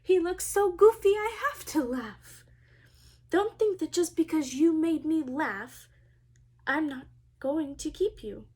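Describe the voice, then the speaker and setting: A woman teacher reading a storybook aloud to young children in an expressive way, speaking to them directly. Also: high-pitched, takes it slowly.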